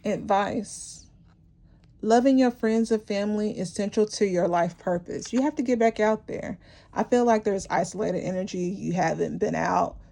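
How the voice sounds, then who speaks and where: A woman gives an intuitive tarot reading straight to her viewers, rambling and encouraging, often restarting her sentences. Her voice is mellow, dark and gravelly, and it is wearing hoarse, with frequent throat clearing. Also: mid-range voice, moderate pace. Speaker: tarot reader.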